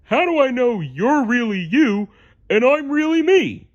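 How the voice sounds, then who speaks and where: Through slightly dull audio comes a dopey cartoon starfish put on by a woman reading aloud. He is emphatic and simple-minded, with a raised pitch that lurches up and down. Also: very animated, measured pace, a little mellow. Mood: confused. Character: dim, goofy male cartoon starfish.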